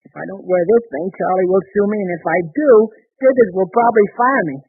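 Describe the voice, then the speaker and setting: An American actor playing a hapless, timid young husband who stammers and apologizes in a 1930s radio comedy. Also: male voice, sits high, quick pace, breathy edge, dry recording. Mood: panicked. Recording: muffled, narrow-band 1930s radio recording.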